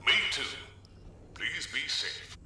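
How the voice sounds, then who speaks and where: A man's voice: mid-range voice, lively intonation, takes it slowly. An actor playing a kindly, courtly older American man with a folksy manner in a video game. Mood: grateful. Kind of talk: video game cutscene acting. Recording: very echoey, distant, faint noise.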